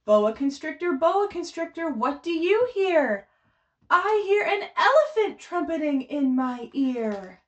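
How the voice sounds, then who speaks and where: High-pitched, unhurried woman leading storytime. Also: sing-song, muffled recording. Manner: encouraging, exclaiming, simple child-directed speech. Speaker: children's librarian leading a baby storytime. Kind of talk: sing-song picture book read-aloud and coaching for babies and parents.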